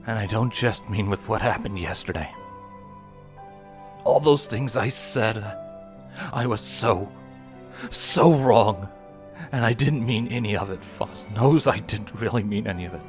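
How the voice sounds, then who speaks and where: Man playing a tender, remorseful big brother pony. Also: on the low side. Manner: soft, tender, earnest. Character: gentle, remorseful adult male pony, a protective older brother.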